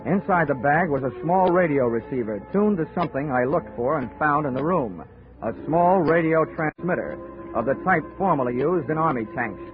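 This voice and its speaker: A man's voice: on the high side, animated, normal speed, close to an everyday voice. Guy playing a hard-boiled insurance detective, dry wisecracks, old radio, thin lo-fi audio, music. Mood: wry. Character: wisecracking tough male insurance investigator. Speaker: narrator and lead. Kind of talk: 1940s radio detective drama.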